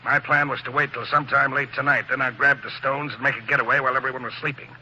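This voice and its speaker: A man's voice: medium pitch, flat delivery, quick pace, pushed and bright, muffled recording, a little hiss or hum. An actor playing a shifty male con man posing as a count, talking in tough gangster slang, in 1940s radio acting style. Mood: sly. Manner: tough gangster slang, nervous then pleading.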